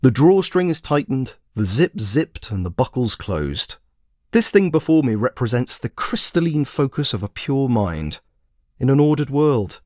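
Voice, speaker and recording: A man's voice: medium pitch, big pitch swings, measured pace, a little mellow, no room sound, clean studio sound. A man voicing a smug, pedantic, self-admiring traveller in a scripted comic audio monologue, pompous and precise. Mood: grandly pleased.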